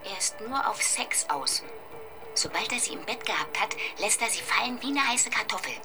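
An actress playing an intense, bitter woman visitor who talks in a sharp, insistent and preachy way. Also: a little high, animated, normal speed, music in the background, lightly acted. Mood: insinuating.

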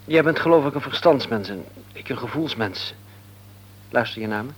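A calm, devout friend. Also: male voice, mid-range voice, normal speed, breathy edge. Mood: calm counsel. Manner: quiet, calm and counselling. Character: calm devout friend, grief-tested middle-aged man. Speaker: friend offering comfort. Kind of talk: radio play acting.

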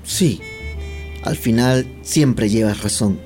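Actor doing resigned husband in a radio play, slow dark soft voice, music behind. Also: male voice, on the low side. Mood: tired, resigned. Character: weary, compliant husband in government, quietly resentful. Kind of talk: Spanish audio fiction acting.

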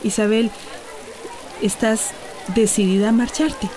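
A woman's voice: fairly high pitch, steady tone, takes it slowly. An actress playing a resolute, protective mother in a radio soap, with a Central American Spanish accent. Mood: soothing. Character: resolute, protective mother in a storm-hit town. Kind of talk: radio soap opera acting.

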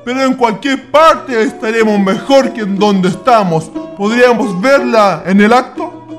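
A man's voice: very high-pitched, unhurried, music in the background. A man playing a courteous, earnest Chilean idealist in a radio drama.

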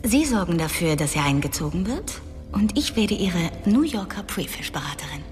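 An actress in a German radio drama playing a cool, self-assured and scheming corporate consultant. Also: female voice, lowish voice, big pitch swings, moderate pace, darkish tone. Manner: cool, confident. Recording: long hall reverb, faint music.

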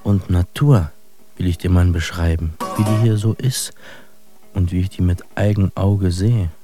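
An actor playing a brooding young immigrant man who muses poetically in street slang, in a radio drama monologue with halting, deliberate delivery. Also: low voice, fairly even intonation, over music. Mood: brooding, musing.

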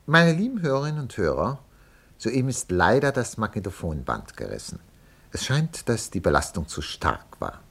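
An actor playing a pompous, overly polite 1950s radio announcer in a comedy, mock-solemn and husky. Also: male voice, on the low side, huge pitch swings, normal speed, a bit bright, a little hiss or hum, full character voice. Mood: formal.

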